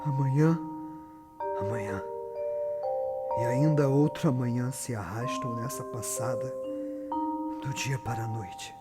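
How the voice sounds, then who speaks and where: A man's voice: medium pitch, measured pace, pauses often, over loud music, natural voice. A man with a Brazilian accent acting a tormented, guilt-ridden tyrant in a dramatic, declamatory theatrical monologue. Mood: bleak, weary. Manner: dramatic, declamatory, swinging from murmur to cry. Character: tormented, defiant, guilt-ridden male tyrant haunted by bloody memories. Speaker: stage actor. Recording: long reverberant echo around the voice.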